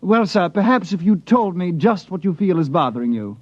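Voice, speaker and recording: A man's voice: high voice, very animated, normal speed, darkish tone, no room sound. An actor playing an eager, politely unflappable appliance salesman with fussy, polite patter, in mid-century radio drama style. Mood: polite, pitching. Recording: dull, dry vintage radio recording.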